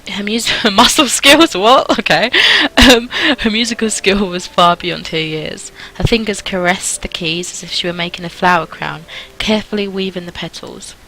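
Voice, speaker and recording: A woman's voice: fairly low pitch, pushed and bright, slight background noise, low-quality recording. A young adult woman reading a visual novel aloud, with casual asides as host of a gaming video.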